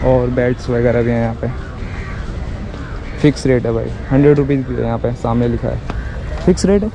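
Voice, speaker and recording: A man's voice: mid-range voice, fairly quick. A young man with an Indian accent gives casual, repetitive vlog commentary for his viewers, recorded on a handheld camera in the street. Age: young adult. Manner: informal, repetitive, explaining to viewers. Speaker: shopping vlogger. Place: outdoor street market and roadside. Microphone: handheld action camera at arm's length. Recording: noisy outdoor street with traffic. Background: street and vehicle noise.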